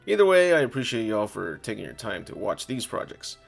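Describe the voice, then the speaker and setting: A man with a low voice narrates as the creator of his own comic video. His delivery is that of scripted storytelling and channel talk. Music plays clearly behind his words. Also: very animated, fairly quick.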